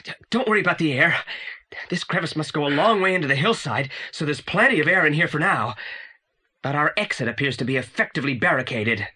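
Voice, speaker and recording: A man's voice: fairly high pitch, animated, quick pace, bright, forceful tone, slightly rough, heavily acted. An actor playing a pompous, unflappable teenage boy detective in an audio drama, speaking in precise, formal, long-winded sentences. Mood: composed, determined.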